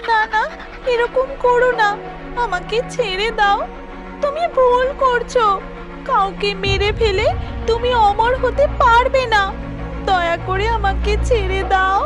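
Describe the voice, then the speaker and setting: A frightened young cartoon bird, plaintive and pleading. The voice is extremely high and slow. Loud music sits behind it. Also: female voice.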